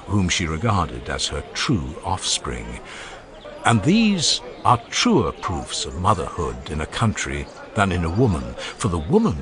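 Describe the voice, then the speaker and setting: A man playing an elderly philosopher in a dramatized audiobook, speaking in a formal, measured, declamatory manner. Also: on the low side. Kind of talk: dramatized audiobook of ancient oration.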